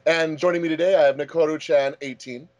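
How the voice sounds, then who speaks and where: A man's voice: on the high side, steady tone, brisk, darkish tone, no room sound, lo-fi sound. A man hosting a casual commentary track as a fan dub director, chatty and jokey.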